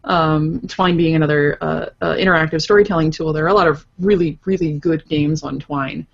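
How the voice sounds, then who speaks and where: A woman's voice: deep voice, steady tone, brisk, vocal fry, dull audio. A woman guest on a casual podcast, explaining her writing thoughtfully and working through hypothetical examples as she goes. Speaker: podcast guest, interactive fiction writer.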